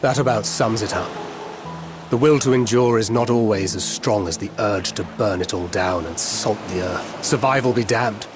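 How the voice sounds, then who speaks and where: An actor playing a worldly, philosophical man in a video game, articulate, wry and quietly resolute. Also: lowish voice, moderate pace, natural voice. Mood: somber. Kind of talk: video game cutscene acting. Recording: music playing behind the voice.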